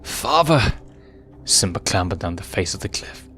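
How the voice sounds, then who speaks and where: A man's voice: low voice, flat delivery, a little fast, a bit bright. A man reading fan fiction aloud as dramatic scripted story narration. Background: music.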